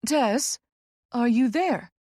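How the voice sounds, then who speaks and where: A woman performs a commanding female senior boss from an audiobook novel. She is smooth-voiced, dramatic and fiercely protective, speaking with strong emphasis in a dry, close recording. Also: a little high, animated, moderate pace, darkish tone, no echo, close to an everyday voice. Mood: concerned, pleading. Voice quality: smooth alto.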